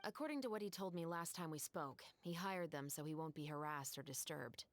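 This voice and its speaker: An actress playing a composed, dutiful young guard officer in a video game, formal and firm. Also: female voice, sits low, brisk, natural voice. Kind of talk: video game character acting.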